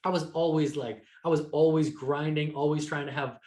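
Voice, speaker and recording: A man's voice: on the high side, steady tone, moderate pace, a little mellow. Man in his thirties, roomy echoing sound. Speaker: podcast guest, entrepreneur and author. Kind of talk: casual interview conversation.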